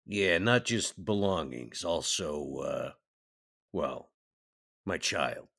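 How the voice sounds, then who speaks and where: A man playing a plainspoken, laid-back tough vampire in a tabletop game, with a gravelly, folksy delivery. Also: a bass voice, lively intonation, slow, fairly bright tone, exaggerated voice. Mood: easygoing, tough.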